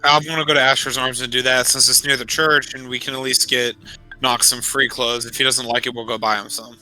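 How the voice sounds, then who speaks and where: Male tabletop player, crisp and very forward bright voice, casual conversational delivery. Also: mid-range voice, little pitch movement, a little fast, faint music behind, poor audio. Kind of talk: casual in-game discussion.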